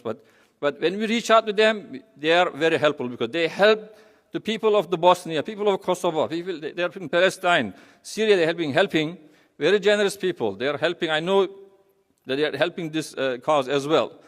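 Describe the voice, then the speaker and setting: A man with a Turkish accent gives an informal talk in a hall with long reverb. His voice sits a little high, and he speaks haltingly, circling back and repeating phrases as he goes. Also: animated, fairly bright tone. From Turkey. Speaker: humanitarian charity representative speaking. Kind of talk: informal public speech.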